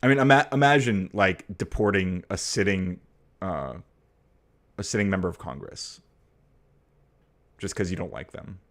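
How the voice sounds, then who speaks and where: A young man from the United States with a very low, deep voice hosts a casual livestream. He rambles through his points with plenty of fillers and restarts, and turns blunt and profane when a topic riles him. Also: normal speed, pauses often. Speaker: livestream host. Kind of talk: casual political chat, reading viewer comments.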